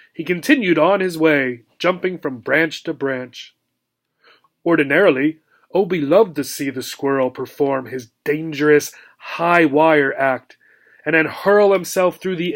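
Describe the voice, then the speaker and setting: A man reading a children's chapter book aloud as a teacher, expressively, with deliberate dramatic emphasis and pauses. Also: a little high. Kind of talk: expressive children's audiobook narration.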